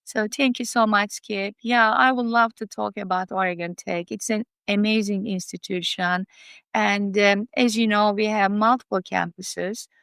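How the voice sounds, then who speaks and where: Middle-aged woman, Turkish accent, creaky yet clear. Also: medium pitch, steady tone, moderate pace, a bit bright, no echo. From Turkey. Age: 50s or older. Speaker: guest, college dean. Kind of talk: interview answers.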